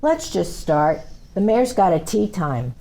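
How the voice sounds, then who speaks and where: A woman playing a brisk, skeptical city official with a dry manner at a script table reading. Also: lowish voice, very animated, warm tone. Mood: impatient, skeptical.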